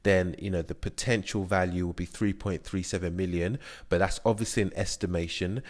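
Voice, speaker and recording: A man's voice: low voice, steady tone, fairly quick. A young man hosting an investing channel, walking through an app's screens in a chatty, explanatory way with plenty of fillers. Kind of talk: screen-share app walkthrough.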